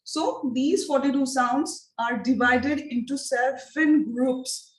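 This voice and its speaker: Woman, Indian accent, teacher trainer, slow deliberate delivery, repeats questions, roomy video-call sound. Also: high voice. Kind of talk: online teacher training lecture.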